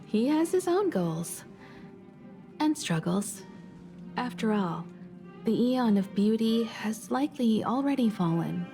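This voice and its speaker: Wise, calm female mentor, warm dark tone, measured and philosophical, game dialogue. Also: a little high, exaggerated voice. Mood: solemn. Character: calm, wise, composed woman mentor, thoughtful and mature. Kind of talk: video game character dialogue. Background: faint game music.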